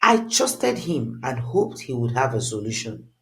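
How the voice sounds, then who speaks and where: Storyteller, mid pitch, slow deliberate pace, very lively intonation, dramatic scripted narration.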